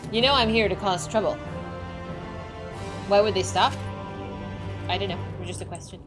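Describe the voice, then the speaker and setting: A young woman streaming a game, chatting excitedly with a slightly squeaky voice, over faint game music. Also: normal speed. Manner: excitable, chatty, laughing often. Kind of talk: casual chat while playing a game.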